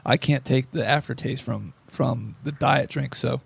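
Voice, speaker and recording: A man hosting a sports podcast who has lost most of his voice and sounds hoarse, talking in a rambling, self-deprecating way. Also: medium pitch, measured pace. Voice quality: gravelly. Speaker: podcast host. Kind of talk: casual sports podcast chat.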